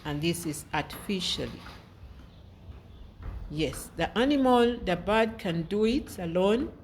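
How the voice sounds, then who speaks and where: A woman teaches young children at a deliberately unhurried pace, her voice sitting fairly low. She repeats words and spells them out patiently. A faint noise hums under the recording. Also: lively intonation. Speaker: primary school teacher. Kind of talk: slow children's lesson.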